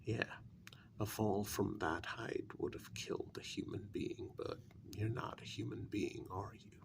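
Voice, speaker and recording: A man playing a menacing, sardonic kidnapper in a soft-spoken ASMR role-play, gravelly and often slipping into whispers, speaking in a halting, deliberate way. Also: lowish voice. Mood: aggrieved, simmering. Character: menacing, sardonic male kidnapper interrogating his captive.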